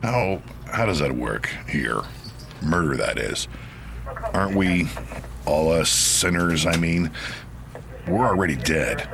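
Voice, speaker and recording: An actor playing a modest, earnest detective in an audio drama, speaking with some hesitation. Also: male voice, extremely low pitch, expressive, slow, creaky, long pauses, background noise, full character voice. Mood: puzzled, brooding. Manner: hesitant, restarting. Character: modest, earnest homicide detective turned demon hunter.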